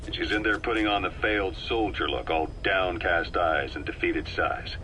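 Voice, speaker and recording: A man playing a cool, calculating veteran mercenary intelligence officer in a video game, speaking in a measured, wry, persuasive way. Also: low-pitched, bright, forceful tone. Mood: calm, analytical. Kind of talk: video game voice acting, briefing dialogue. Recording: noisy radio-like cassette tape recording.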